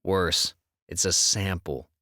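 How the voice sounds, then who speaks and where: A man playing an easygoing, sensitive husband in a con crew, speaking in a measured way in an audiobook drama. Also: deep voice, sharp tone, no echo, clean studio sound, heavily acted. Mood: scheming, matter-of-fact. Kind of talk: full-cast audiobook dialogue acting.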